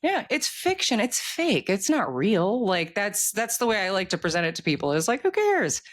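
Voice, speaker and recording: Middle aged author just chatting in an interview, candid n emphatic, medium pitch, talks a lot. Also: big pitch swings, normal speed, a bit bright. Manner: talkative, candid, informal, emphatic. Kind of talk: casual interview conversation.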